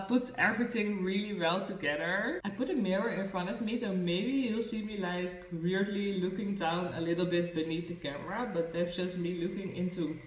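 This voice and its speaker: Slow, hesitant Dutch-accented young woman. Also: mid-range voice. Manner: self-conscious, hesitant, many fillers and restarts. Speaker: beauty and mental health vlogger. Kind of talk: casual talk to camera while doing makeup. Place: room in a new home, indoors. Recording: echoey room, mic far from the speaker.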